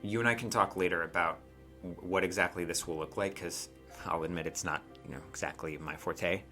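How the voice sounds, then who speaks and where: A man improvising narration as game master of a tabletop game, conversational and halting, often checking in with the players. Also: low-pitched, steady tone, bright, forceful tone. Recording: music behind the voice.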